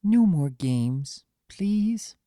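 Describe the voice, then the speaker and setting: A reader voicing a gentle but firm aging female pony in a fan fiction reading, earnest in manner. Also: mid-range voice, expressive, drawn-out words, no echo, clean studio sound, pitched above the performer's own voice. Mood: insistent.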